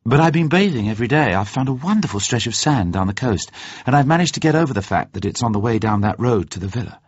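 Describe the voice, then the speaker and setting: A man voicing a guilt-ridden young woman in an audiobook, soft, hesitant and apologetic. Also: mid-range voice, expressive, quick pace, dry recording, voice pushed up. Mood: brave, bright. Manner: hesitant, muffled, often hushed to a breathy whisper.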